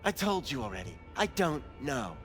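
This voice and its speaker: Callous back-alley doctor, higher-pitched, animated, whiny, defensive stammer, video game drama. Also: male voice, normal speed, soft background music. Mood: evasive, callous. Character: shifty, callous, pathetic back-alley implant doctor, whining under interrogation.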